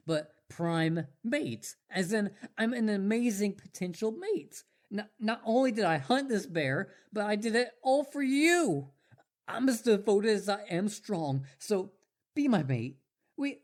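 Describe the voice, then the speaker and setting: Man playing an animated, eager, boastful wolf boy. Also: high-pitched. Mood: flustered, pleading. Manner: boastful, rambling, stammering when flustered. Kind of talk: roleplay audio drama addressed to listener.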